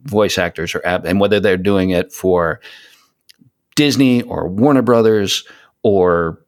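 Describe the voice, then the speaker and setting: Guy w a lowish voice talking on a podcast, emphatic, says stuff twice to drive it home. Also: a little slow. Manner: emphatic, repeats phrases for stress. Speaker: podcast guest, hobby voice actor. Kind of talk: casual podcast conversation.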